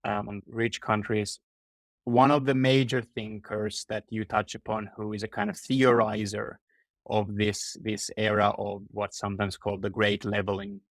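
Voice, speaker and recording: A man's voice: lowish voice, flat delivery, normal speed, dry recording. A man hosting a conversational interview, putting questions in a thoughtful, tentative way. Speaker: podcast host, interviewer.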